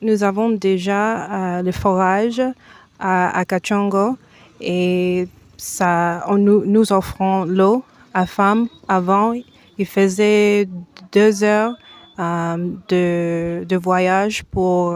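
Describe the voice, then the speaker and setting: A woman from the United States, answering a TV interviewer's questions slowly and with many hesitations. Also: mid-range voice, fairly even intonation, darkish tone, smooth, dry recording. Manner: slow, halting, with frequent hesitations and restarts. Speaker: foundation president, guest.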